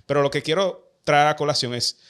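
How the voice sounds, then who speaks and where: A man with a Dominican Spanish accent hosting a conversational interview, speaking haltingly and carefully and often repeating phrases as he frames questions. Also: fairly high pitch, fairly bright tone, smooth. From Dominican Republic. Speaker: podcast host.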